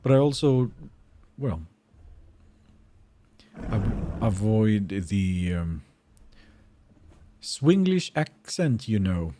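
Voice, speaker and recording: A man with a Swedish accent, Finnish by first language, chats through a live game stream at an unhurried pace. His voice is mellow and dark in tone, with lively swings in pitch. He muses out loud and replies to viewers as he plays. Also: slight background noise. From Sweden. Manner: thinking aloud, musing and answering chat. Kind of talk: casual live-stream chat while gaming.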